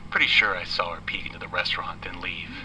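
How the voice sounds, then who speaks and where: A man playing a sleepless, self-pitying musician dictating a deadpan, rambling audio journal into a field recorder. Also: low voice, fast talker. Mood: bitter, deadpan. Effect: played back from a field recorder. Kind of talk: spoken diary monologue. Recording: distant, noisy field recorder playback.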